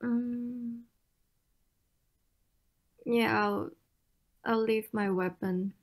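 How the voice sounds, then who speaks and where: Female gamer, casual and tentative, leisurely pace, gravelly fry. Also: a little high, fairly even intonation, extremely slow. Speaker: player in a tabletop game. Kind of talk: casual game chat.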